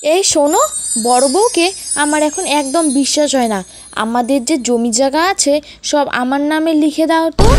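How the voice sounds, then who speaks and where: Cartoon folk tale role: spoilt, scheming young wife played by a woman, high-pitched, sharp-tongued, bossy, music underneath. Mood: sulky, scheming. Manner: sharp, scolding, nagging. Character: haughty, scheming, demanding young second wife. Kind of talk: animated folk tale dialogue. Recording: voice over prominent background music.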